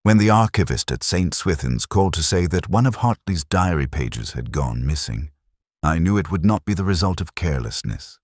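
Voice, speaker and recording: A man narrating a scripted first-person detective story in a measured, formal, literary manner. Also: low-pitched, lively intonation, no room sound, clean studio sound.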